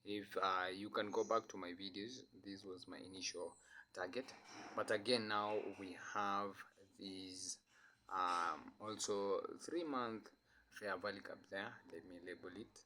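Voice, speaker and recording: A man's voice: deep voice, steady tone, unhurried, bright, forceful tone, a little hiss or hum. Man with a cold talking through charts. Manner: hesitant, many fillers and restarts. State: has a flu. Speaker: trading analyst. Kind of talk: casual screen-share chart analysis.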